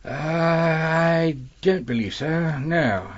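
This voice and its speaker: An actor playing an outwardly polite, scheming publisher in a period mystery audio drama, speaking formal, measured English. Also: male voice, fairly high pitch, dull audio. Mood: guarded politeness.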